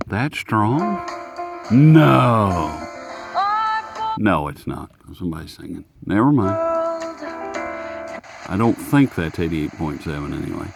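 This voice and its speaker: A man's voice: deep voice, sing-song, slow pace, creaky, pauses often, loud music behind the voice. A man hosting a casual, unscripted radio review in a folksy, jokey, rambling manner. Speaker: host of radio review videos.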